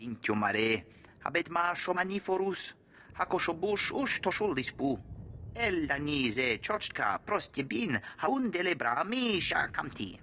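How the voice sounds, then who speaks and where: A man's voice: on the high side, sing-song, unhurried, pushed and bright, a little hiss or hum, full character voice. A man playing an emphatic alien commander in a video game, speaking expressively in an invented language. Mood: earnest.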